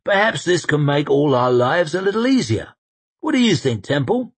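A man's voice: a little high, big pitch swings, normal speed, slightly rough, voice pushed up, heavily acted. A man voicing an old general who drawls dry, mocking remarks. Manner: drawling, sardonic.

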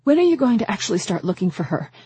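A woman narrator voicing a distraught, fiercely protective young mother in an audiobook, with urgent, emotional delivery and a husky voice. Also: medium pitch, sing-song, very fast, warm tone, dry recording, voice pushed up. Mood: desperate.

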